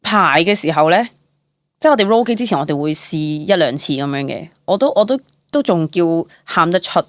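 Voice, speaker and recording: A young woman with a Hong Kong Cantonese accent shares stories as an actor being interviewed. Her voice sits low for a woman, and her telling is chatty and expressive, sprinkled with English words. The sound is close and dry. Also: a little fast, dry recording. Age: young adult. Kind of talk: casual interview storytelling.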